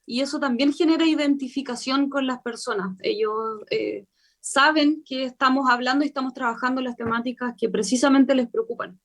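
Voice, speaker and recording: A Chilean woman, a convention member, explaining her work fluently in a radio interview, with frequent filler pauses. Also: high-pitched. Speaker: constitutional convention member, guest.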